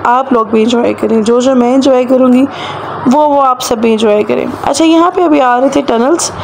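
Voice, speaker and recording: Hoarse Pakistani woman vlogger, chatty voice-over. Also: high-pitched, normal speed, background noise. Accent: Pakistani Urdu. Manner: chatty, repeats phrases for emphasis. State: hoarse sore throat. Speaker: travel vlogger. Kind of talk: voice-over narration of a road trip.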